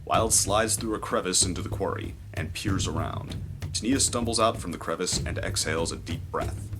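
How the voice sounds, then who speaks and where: A man narrating a scripted adventure story in a measured, descriptive, dramatic storytelling style. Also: low voice. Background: music.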